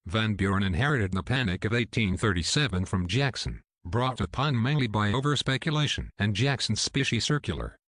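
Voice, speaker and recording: A man's voice: fairly low pitch, animated, fairly quick, few pauses, fairly bright tone, no echo, clean studio sound. A man reading scripted educational history narration as the narrator.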